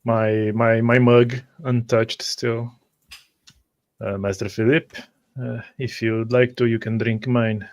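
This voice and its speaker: Man, medium pitch, flat intonation, tabletop player, formal polite halting roleplay. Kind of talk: in-character roleplay.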